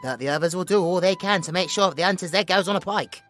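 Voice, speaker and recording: A woman playing a cheeky, excitable young village bard with a British accent, chatty and colloquial in a fantasy audio drama. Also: on the low side, fast, faint music behind, close to an everyday voice. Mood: chatty, expressive. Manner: animated, colloquial, playful. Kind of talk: fantasy audio roleplay acting.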